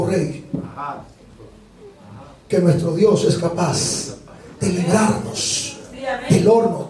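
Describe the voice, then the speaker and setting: A man preaching a lively sermon with a Dominican accent, animated and joking with the congregation. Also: high voice. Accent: Dominican Spanish. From Dominican Republic. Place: church hall. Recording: echoey hall from far off, congregation.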